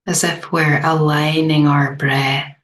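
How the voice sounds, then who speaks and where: Meditation guide, female. Extremely slow delivery, gentle, low register, little melodic movement. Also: little pitch movement. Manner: gentle, unhurried, long pauses.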